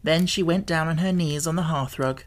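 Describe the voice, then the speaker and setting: A woman reading a children's classic as an audiobook narrator, in an expressive storytelling manner. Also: low voice, normal speed.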